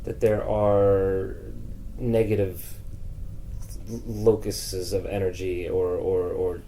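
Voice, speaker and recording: A man's voice: sits low, flat delivery, slow pace, warm tone, slight background noise, low-quality recording. A man hosting a casual conversational podcast, probing tentatively and searching for words as he builds each point. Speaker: podcast host.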